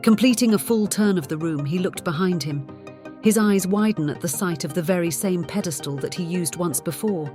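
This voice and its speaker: A woman narrates fiction in a dramatic audiobook style. Her voice sits somewhat low. Music plays clearly beneath the narration. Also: moderate pace, dark tone.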